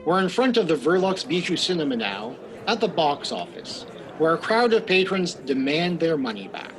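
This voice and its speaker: Sly radio mystery narrator, theatrical and droll, animated range, faint music underneath. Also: male voice, on the high side, normal speed, low-quality recording. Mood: ominous, droll. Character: sly, ominous host of a 1940s suspense radio show. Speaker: narrator and announcer of a radio play. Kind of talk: old-time radio suspense narration.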